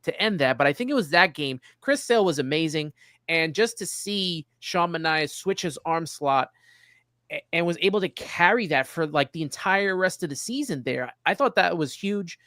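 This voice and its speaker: A man's voice: on the high side, animated, normal speed, sharp tone, no room sound, clean studio sound. A man hosting a casual sports interview, chatting as he puts questions to a guest. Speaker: podcast host.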